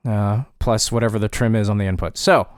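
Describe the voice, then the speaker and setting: A man narrating a software tutorial step by step in a casual, informal way, with dry wry asides. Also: lowish voice, steady tone, a little fast, dry recording. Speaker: tutorial presenter.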